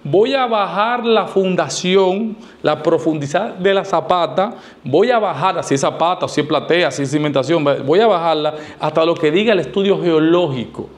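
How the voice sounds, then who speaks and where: A man's voice: on the high side, moderate pace. A middle-aged man, explaining things to camera in an emphatic, didactic way with rhetorical questions and repetition. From Dominican Republic. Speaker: architect giving advice. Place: small indoor studio, seated. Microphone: lavalier clipped to shirt.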